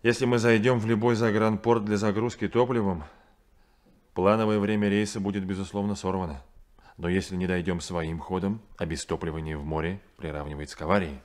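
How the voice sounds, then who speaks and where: An actor playing a composed, authoritative ship's captain giving crisp orders in a 1970s Soviet radio drama. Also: male voice, sits low, fairly even intonation, a little fast, natural voice. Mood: stern, controlled. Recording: noisy 1970s radio play recording.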